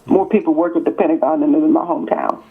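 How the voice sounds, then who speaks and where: A woman's voice: sits low, fast talker, husky. An author in her sixties, raised in East Texas, answers interview questions over the phone. She talks emphatically and stacks her points in repeated, parallel phrases. The line sounds thin and phone-like. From born in California, raised in East Texas. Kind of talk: interview on a call.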